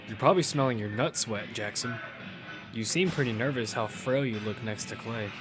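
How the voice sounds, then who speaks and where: A man's voice: mid-range voice, normal speed, music in the background, close to an everyday voice. A man playing a casual, confident young gamer who narrates his story in the first person and teases his friends. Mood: teasing. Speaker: narrator and lead character. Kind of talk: first-person audio fiction narration and dialogue.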